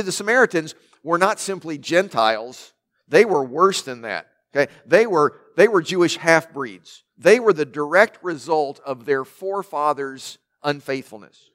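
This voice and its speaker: Man, pastor, loud voice, slightly high pitch, emphatic sermon delivery. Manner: emphatic preaching with rhetorical questions and building lists.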